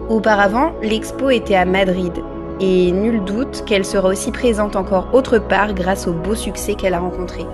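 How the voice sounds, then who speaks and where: Woman narrating a vlog voice-over. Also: medium pitch. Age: 30s. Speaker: vlogger.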